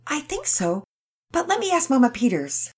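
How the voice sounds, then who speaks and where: A woman voicing a cheerful, eager young girl in an audio story, speaking in a bubbly, excited way. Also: high voice, normal speed, voice pushed up.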